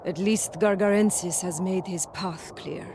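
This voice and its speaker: A low-voiced watchful warrior. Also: female voice, measured pace, background noise. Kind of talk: dramatic video game cutscene acting.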